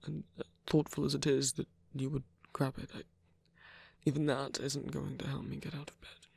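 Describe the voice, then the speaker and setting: A performer in an intimate ASMR roleplay, playing a proud, flirtatious villain in pain who speaks softly and haltingly, guarded yet tender, in a breathy, gravelly voice. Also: medium pitch, flat delivery, dry recording. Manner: halting, hesitant, murmured.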